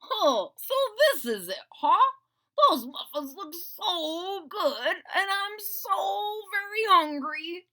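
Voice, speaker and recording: A woman voicing a big, hungry, blustering but not truly mean dragon in a children's storybook read-aloud, with drawn-out mumbles and rumbling roars. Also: big pitch swings, pushed and bright, heavily acted. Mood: hungry, eager.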